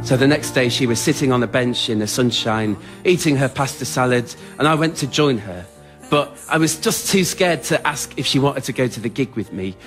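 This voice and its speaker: A man's voice: medium pitch, moderate pace, a bit bright, faint music behind. A man performing a scripted comic monologue on a theatre stage for radio, in an understated, self-deprecating, earnest way. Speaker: writer-performer storyteller. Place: theatre stage before an audience.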